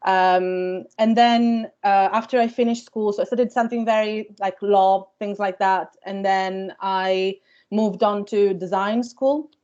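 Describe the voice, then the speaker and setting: A woman's voice: medium pitch, steady tone, measured pace, darkish tone, highs cut off. A woman in her thirties from Italy with an Italian accent, a company founder giving a careers talk to students, thoughtful with many ums and uhs.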